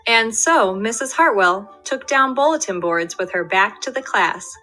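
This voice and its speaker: A woman's voice: medium pitch, expressive, a little slow, sharp tone, soft background music. A young adult woman, a first grade teacher, reading a picture book aloud to her class and talking to her students.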